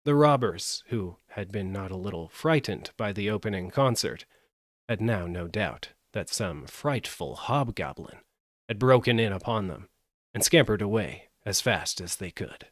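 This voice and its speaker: A man narrating a fairytale as a live audiobook, with an expressive, measured delivery. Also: on the low side, no room sound, studio quality. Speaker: storyteller.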